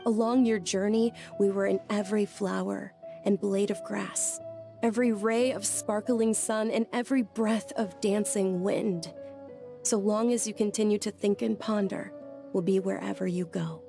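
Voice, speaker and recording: A woman playing a gentle, polite young follower of a deity in a video game, speaking with soft, hesitant courtesy. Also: mid-range voice, fairly even intonation, normal speed, gravelly, natural voice. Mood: earnest storytelling. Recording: faint music behind the voice. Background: background music.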